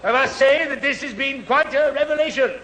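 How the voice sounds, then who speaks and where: A man's voice: very high-pitched, fairly quick, crowd noise. A man playing a pompous, stammering retired army major with an English accent, bewildered and indignant, in a 1970s radio sitcom. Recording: hissy old radio recording, hall with audience.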